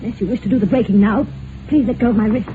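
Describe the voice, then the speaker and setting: A woman's voice: on the high side, racing, lightly acted. Casting: woman playing genteel young British wife, wistful yet cutting, vintage radio drama sound, thin band with faint hiss. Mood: defiant. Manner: genteel, poised, archly ironic. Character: proud, unhappy young wife of a rich husband, wistful and cutting. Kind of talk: old-time radio drama acting. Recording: tinny, noisy old radio recording.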